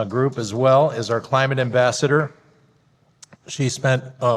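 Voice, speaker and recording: A man moderating a conference panel, introducing the speakers with many halting fillers. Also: medium pitch. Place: conference hall. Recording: reverberant hall.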